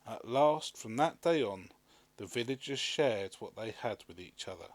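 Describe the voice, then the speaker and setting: Animated male storybook reader, dry sound. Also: on the low side, measured pace, dry recording. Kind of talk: expressive scripted story narration.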